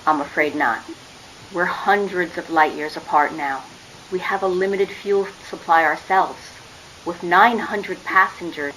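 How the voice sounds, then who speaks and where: A woman's voice: lowish voice, unhurried, a bit bright, slight background noise, rough recording. A woman playing a firm starship commander in a radio play, clipped and official. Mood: somber. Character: firm female starship commander, brisk and authoritative. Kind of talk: dramatic radio play acting.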